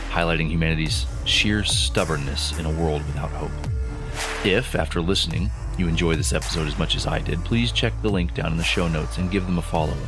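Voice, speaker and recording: A man hosting a podcast, reading a scripted introduction to a featured show. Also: low-pitched. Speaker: podcast host. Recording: music bed behind.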